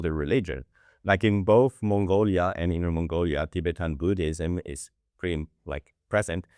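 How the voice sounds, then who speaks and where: A man's voice: sits low, no echo, clean studio sound. A man hosting a podcast in a loose, chatty way, filling his talk with "like". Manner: loose, lots of "like" fillers. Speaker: podcast host. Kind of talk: casual podcast chat.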